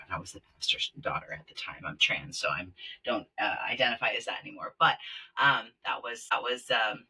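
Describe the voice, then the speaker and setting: A man's voice: on the low side, bright, forceful tone, slightly rough, recorded from a distance, rough recording. A man from Kenya, guest on a podcast, telling his story in a rambling way with many fillers, recorded in a small podcast closet. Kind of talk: casual interview chat.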